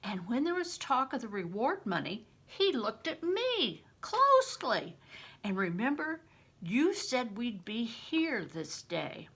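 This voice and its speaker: A woman's voice: on the high side, sing-song, slow pace, a bit bright, breathy edge, pitched above the performer's own voice, exaggerated voice. A woman voicing an anxious, watchful young boy in a storybook, speaking earnestly and insistently. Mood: urgent. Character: anxious, watchful young boy narrating his medieval adventure.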